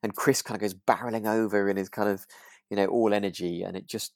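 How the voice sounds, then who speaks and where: A man's voice: on the low side, steady tone, fast, no room sound, clean studio sound. A man co-hosting a podcast, thinking aloud in a casual, rambling and hesitant review of a radio drama. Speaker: podcast co-host.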